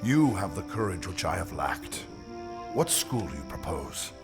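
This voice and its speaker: A man's voice: sits low, big pitch swings, creaky, music in the background, exaggerated voice. An actor playing a weary, formal king and worried father in an audio drama, speaking in grave, stately phrases. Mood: troubled. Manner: formal, stately, measured archaic speech.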